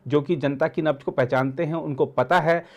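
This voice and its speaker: Male political commentator, voice a bit high, halting delivery with repeated phrases. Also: fairly even intonation. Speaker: news editor and commentator. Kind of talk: political discussion.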